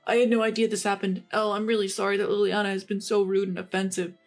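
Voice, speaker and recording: A woman's voice: mid-range voice, little pitch movement, fast, soft background music, natural voice. A woman voicing an apologetic brother in a narrated story. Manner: sincere, apologetic.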